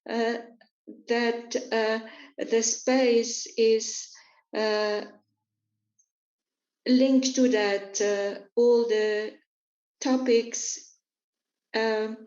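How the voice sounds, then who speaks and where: A woman's voice: a little high, fairly even intonation, very slow, long pauses, darkish tone. A woman interpreting an interview into English, hesitant and full of filler sounds. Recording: roomy, dull lo-fi recording.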